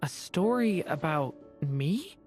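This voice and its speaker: Dragonborn youth: wistful, soft-spoken boy, unhurried, slightly low register, faint music behind. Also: expressive. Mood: earnest wonder. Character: gentle young dragonborn boy, earnest and wistful. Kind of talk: video game voice acting.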